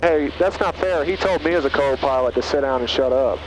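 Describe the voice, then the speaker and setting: A man's voice: fairly high pitch, a little fast. A man riding along in a small plane, bantering and joking with the crew over a headset. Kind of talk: casual banter. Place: small plane cockpit in flight. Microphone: aviation headset. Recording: engine noise over headset intercom. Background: loud engine noise.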